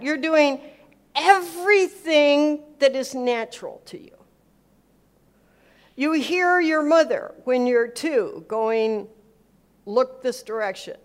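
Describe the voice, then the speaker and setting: A woman from America and Switzerland giving an informal teaching talk, emphatic, repeating words for effect and acting out bits of dialogue. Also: a little high. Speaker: speaker giving a talk on faith and business.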